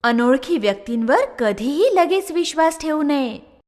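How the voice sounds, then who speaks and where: A woman's voice: high voice, expressive, measured pace, darkish tone, long reverb tail. A woman narrator telling a scripted children's story.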